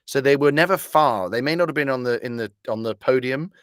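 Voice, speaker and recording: A man's voice: medium pitch, brisk, a bit bright, smooth, no room sound, clean studio sound. A man speaking as a voice actor being interviewed, wordy and articulate, with whimsical turns of phrase. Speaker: guest, voice actor. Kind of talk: conversational interview.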